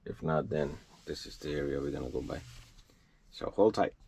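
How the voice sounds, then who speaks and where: A man's voice: a bass voice, moderate pace, darkish tone. A man talking viewers casually through a hands-on repair job as he works, in an informal vlogger style. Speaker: trucker vlogger. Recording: clear background noise, clicks.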